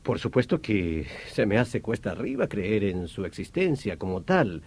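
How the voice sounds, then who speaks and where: An actor in a radio drama playing a courteous, skeptical police inspector of about thirty, measured and questioning. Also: male voice, fairly low pitch, sing-song, darkish tone. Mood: skeptical, pensive. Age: 30s.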